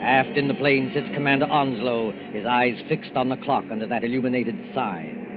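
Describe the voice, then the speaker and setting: A man narrating a wartime thriller gravely in 1940s radio style. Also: medium pitch, moderate pace, lightly acted. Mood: grave, suspenseful. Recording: dull old radio recording, loud music.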